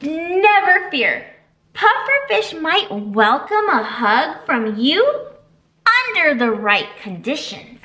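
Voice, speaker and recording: A woman's voice: sits high, slow. A woman in her thirties, a children's librarian, leading a storytime with songs and a picture book, gasping and gesturing with big expression, recorded on a webcam in a small library room. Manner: animated, exaggerated gasps and expressive gestures. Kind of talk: children's storytime reading and singing.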